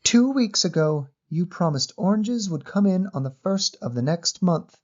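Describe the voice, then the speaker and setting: A man's voice: high voice, expressive, moderate pace, a little mellow, dull audio, pitched above the performer's own voice. A man voicing an eager, polite little girl with an earnest, precise manner in an audio short story.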